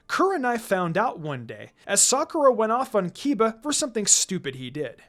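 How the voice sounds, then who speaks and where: A narrator reading fan fiction aloud in an expressive, scripted storytelling style. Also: medium pitch. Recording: music behind the voice. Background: background music.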